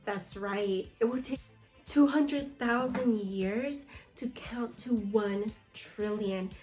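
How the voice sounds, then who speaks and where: A woman's voice: medium pitch, takes it slowly, darkish tone, faint music behind. A woman teaching young children through a read-aloud lesson, reading from a book and prompting pupils with questions and short instructions. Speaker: second-grade teacher.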